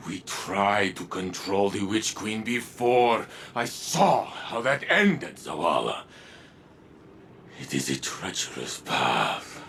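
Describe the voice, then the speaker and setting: A proud warrior lord from a video game, formal and intense. He speaks slowly and expressively with a forceful, gravelly edge. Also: fairly low pitch, very animated, a little hiss or hum, full character voice. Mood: grave, bitter. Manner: formal, dramatic, measured.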